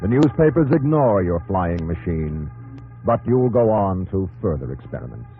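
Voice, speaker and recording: An actor narrating a 1950s radio drama, solemn and measured, addressing the hero intimately in terse dramatic phrases. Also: male voice, sits low, big pitch swings. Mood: solemn, reflective. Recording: tinny 1950s radio broadcast, music behind.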